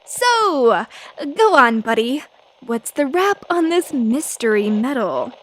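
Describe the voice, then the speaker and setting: An actress playing a brash, tenacious 1930s newspaper reporter, feisty and full of snappy period slang. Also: female voice, high voice, sing-song, slow, a bit bright, full character voice, low-quality recording. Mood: eager. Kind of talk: old-time radio drama acting.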